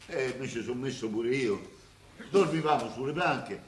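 A man's voice: mid-range voice, moderate pace, lightly acted. Actor as a dazed Roman man, echoey recording. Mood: plain recounting. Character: dazed, plain-spoken Roman man recounting wartime bombing. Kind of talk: radio play acting. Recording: echoey, faintly noisy room recording.

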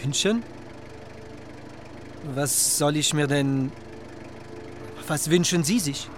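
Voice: mid-range voice, takes it slowly, background noise, lightly acted. An actor playing a curious, down-to-earth taxi driver who asks questions in naturalistic radio drama dialogue. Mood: sober.

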